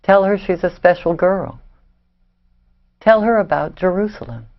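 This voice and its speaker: A woman's voice: fairly low pitch, a little slow. An actress in a play reading, playing a defiant, hard-edged woman in an Israeli family, on a dull recording. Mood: coaxing.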